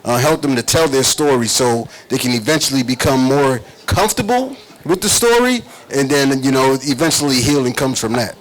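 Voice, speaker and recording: A man's voice: mid-range voice, expressive, normal speed, few pauses, low-quality recording. A man giving an explanatory interview answer as an author and therapist.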